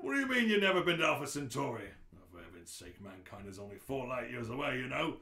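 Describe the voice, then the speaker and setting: A man's voice: medium pitch, big pitch swings, fast, bright, forceful tone. A man voicing a pompous, callous alien bureaucrat in a radio play read-through, exasperated and official. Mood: exasperated, scornful. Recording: roomy, echoing room sound.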